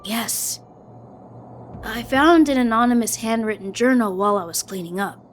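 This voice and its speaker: A woman's voice: a little high, moderate pace, soft background music, close to an everyday voice. A woman voicing a dutiful, polite young male guard in an anime-style video game, hesitant, formal and anxious. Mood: apologetic, subdued.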